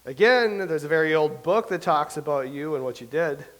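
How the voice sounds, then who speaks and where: An actor in a staged reading playing an earnest, insistent believer who explains things. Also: male voice, fairly high pitch, expressive, moderate pace, fairly bright tone, rough recording.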